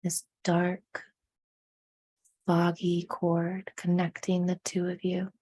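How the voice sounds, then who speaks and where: Woman doing guided meditation, real slow and soft, husky low-ish voice, soothing. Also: monotone. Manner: hushed, soothing, deliberate phrasing with frequent pauses.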